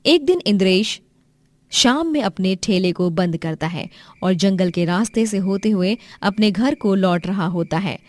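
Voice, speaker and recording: A woman with a medium-pitched voice narrates a scripted moral tale. She tells it as a storyteller for a children's cartoon. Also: lively intonation, a little slow, no room sound.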